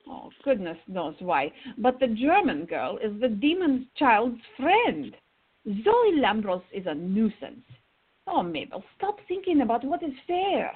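A woman playing an exasperated, bossy older friend who scolds loyally, with a husky voice, in a radio drama. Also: fairly high pitch, big pitch swings, a little slow, narrow, tinny audio, heavily acted. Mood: urging, indignant. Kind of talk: radio theater acting.

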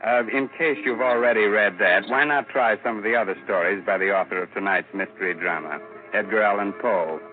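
An actor playing a mocking, sardonic, ghoulish horror host in 1940s radio style. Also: male voice, on the low side, fairly quick, narrow, tinny audio, lightly acted. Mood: mock-sinister. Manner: sardonic, mock-sinister. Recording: band-limited old radio broadcast with music.

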